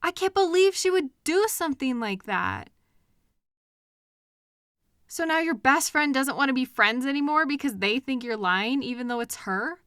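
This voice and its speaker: A woman's voice: high-pitched, clean tone, dry recording, clean studio sound. A woman playing a caring partner in an intimate comfort roleplay, speaking tenderly and expressively straight to the listener. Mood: sympathetic, indignant. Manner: tender, expressive, with soft pauses and questions. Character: caring, gently indignant woman comforting her upset partner. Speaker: audio roleplay performer. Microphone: close microphone in a dry room.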